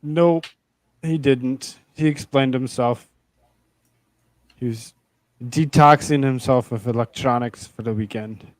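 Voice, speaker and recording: A man with an average-pitched voice hosts in an informal, chummy style. He chats casually, and the sound is close and dry, free of room echo. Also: takes it slowly, pauses often. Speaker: show host. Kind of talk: casual banter.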